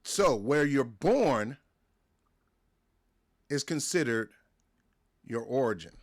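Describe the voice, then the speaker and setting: A man's voice: medium pitch, lively intonation, slow. An American man hosting a wrestling show, ranting in a casual, emphatic way, repeating himself and restarting his words. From United States. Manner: emphatic, repetitive, stumbles and restarts. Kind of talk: casual opinion rant to camera.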